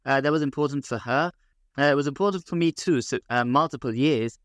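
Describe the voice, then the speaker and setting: A middle-aged man from London with a London English accent, speaking as a guest rabbi in a podcast interview, measured and full of fillers and restarts. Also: medium pitch, no room sound, clean studio sound. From England.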